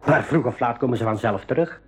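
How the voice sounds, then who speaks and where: Man acting affable, husky game warden. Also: medium pitch, fast talker, natural voice. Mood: serious, explanatory. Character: affable, helpful male game reserve warden and guide. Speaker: game warden and guide in a radio play. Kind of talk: 1980s school radio drama acting.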